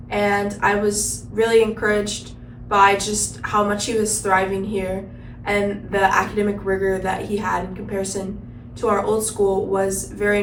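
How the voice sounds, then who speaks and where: A woman's voice: medium pitch, far from the mic. Earnest teen girl in a roomy space. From Central Indiana. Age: teens. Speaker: student ambassador. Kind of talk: casual interview answers. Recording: roomy, off-mic with faint noise.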